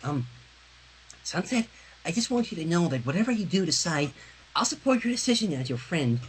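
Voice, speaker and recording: A man's voice: high-pitched, very animated, brisk, slight background noise, rough recording. Man putting on a timid, hesitant teenage girl. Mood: gentle, supportive. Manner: hesitant, stammering. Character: timid, soft-hearted teenage girl, gentle and pleading.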